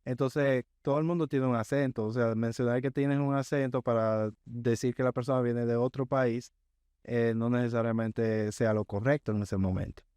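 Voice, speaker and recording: A man who is a guest on a podcast, telling a work story in a measured way and often checking in with his listener. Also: medium pitch, fairly even intonation, a little mellow, clear, resonant tone, dry recording. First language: Spanish. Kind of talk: casual interview chat.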